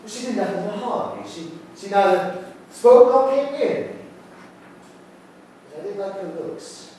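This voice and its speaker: A man in a period stage play, acting an earnest, stubborn young solicitor with formal, emphatic, halting speech. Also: high-pitched, expressive, mellow. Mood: uneasy resolve. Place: indoor theatre stage, mic far off. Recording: very echoey theatre, distant mic, faint noise.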